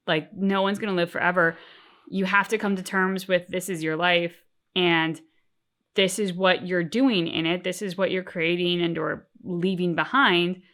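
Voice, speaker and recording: A woman co-hosting a reaction show, talking casually and thoughtfully, with deliberate, emphatic phrasing. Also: lowish voice, fairly bright tone. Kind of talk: casual reflective discussion.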